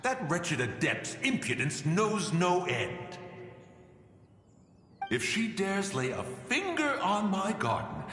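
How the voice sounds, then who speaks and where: A man's voice: high voice, wildly swooping pitch, slow, fairly bright tone, echoey room, long reverb tail, soft background music, heavily acted. A male actor playing a delirious, theatrical old immortal in a video game, boastful and indignant, ranting in pompous archaic phrases. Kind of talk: video game cutscene acting.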